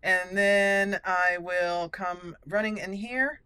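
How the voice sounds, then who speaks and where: A woman hosting a casual let's play, chatty and expressive as she talks to the game characters. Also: mid-range voice, takes it slowly, clean tone. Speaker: gaming channel host. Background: game music.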